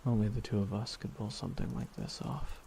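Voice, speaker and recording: A man acting a weary, regretful supervillain in an ASMR audio roleplay, speaking slowly and reflectively with pauses. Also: fairly low pitch, steady tone, vocal fry, mellow, a little hiss or hum. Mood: somber, wry. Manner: slow, reflective, pausing delivery. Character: weary, regretful male supervillain beside his dying nemesis.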